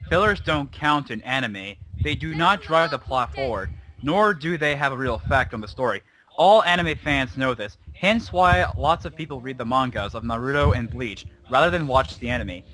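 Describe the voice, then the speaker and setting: A man's voice: medium pitch, animated, moderate pace, pushed and bright, a little hiss or hum, poor audio. A man giving a dramatic reading of an online argument in a dismissive, snide manner. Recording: music playing behind the voice. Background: music.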